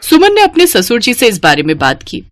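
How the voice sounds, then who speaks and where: A woman's voice: a little high, wildly swooping pitch, brisk, slight background noise. A woman reading a scripted family story as its narrator.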